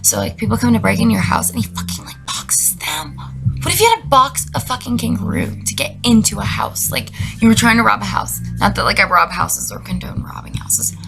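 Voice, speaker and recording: Girl doing a funny casual rant, slangy n sweary, gravelly fry, music in the background. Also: mid-range voice, big pitch swings, fairly quick, in a whisper, rough recording. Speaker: vlogger reading out messages she received. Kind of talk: casual comedic monologue.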